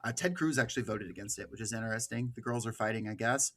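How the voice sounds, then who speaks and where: A man's voice: fairly low pitch, quick pace, fairly bright tone. A man with an American accent giving political commentary as a podcast host, partly reading from notes.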